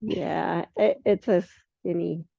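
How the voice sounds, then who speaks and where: A hoarse woman teaching yoga at a slow, easy pace, her low voice gravelly and dark. The sound is dry and muffled, like a lo-fi recording made in a studio. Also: no room sound. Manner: relaxed, unhurried instruction with fillers. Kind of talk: guiding a yoga class.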